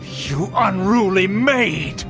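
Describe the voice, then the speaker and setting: An actor playing a haughty, scheming middle-aged aristocrat uncle in a video game, pompous and theatrical. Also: male voice, high-pitched, expressive, very slow, heavily acted. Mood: indignant, scolding. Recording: voice over game music.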